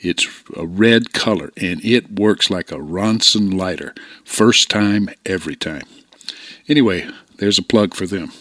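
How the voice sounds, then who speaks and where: Older male hobbyist presenter, fairly deep voice, plain-spoken and informal instruction, dry close-miked sound. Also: a little slow, a bit bright, no room sound. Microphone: close desktop microphone, voice-over.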